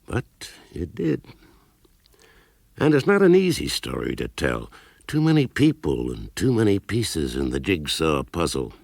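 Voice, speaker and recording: An American actor playing a grave, world-weary senior CIA officer who confides his story in a gravelly voice. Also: deep voice, huge pitch swings, unhurried, darkish tone, dry recording, studio quality, heavily acted. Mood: grave, confiding. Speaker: actor narrating in character. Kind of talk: confessional first-person radio drama narration.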